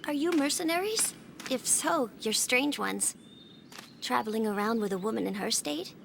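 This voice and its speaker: Feisty young traveling performer, high and animated. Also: female voice, moderate pace, vocal fry, background noise. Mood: curious. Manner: feisty, expressive. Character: feisty young female traveling performer, sharp-tongued but friendly. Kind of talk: English video game dub acting.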